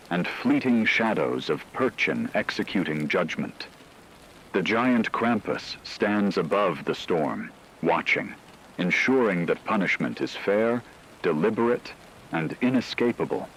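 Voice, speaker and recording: Man acting a grave radio reporter, deep voice, solemn horror drama narration, deliberate delivery, rainy storm noise behind. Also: a bit bright, full character voice, rough recording. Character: grave male radio news reporter covering a supernatural catastrophe.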